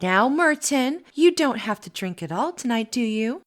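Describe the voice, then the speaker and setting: A woman plays a polite, reserved sister in an audio drama. Her voice is high, and she speaks with composure and a gently chiding manner. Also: lively intonation, normal speed, lightly acted.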